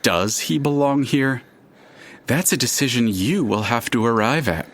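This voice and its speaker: A man playing a chatty, curious, all-knowing narrator in an audio drama, with a dramatic and deliberate storytelling manner. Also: mid-range voice, lively intonation, fairly bright tone. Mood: theatrical, wondering. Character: chatty omniscient male narrator, theatrical and curious.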